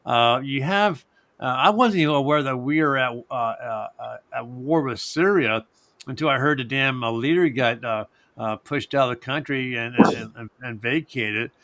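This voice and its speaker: Halting man with a Pennsylvania accent. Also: mid-range voice, a bit bright, no echo. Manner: rambling, halting, many fillers and restarts. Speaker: host. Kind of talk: casual live-stream chat.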